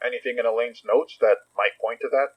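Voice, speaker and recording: A man acting a calm, terse, guarded investigator leading a team in a naturalistic audio drama. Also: mid-range voice, fast talker, dull audio, natural voice.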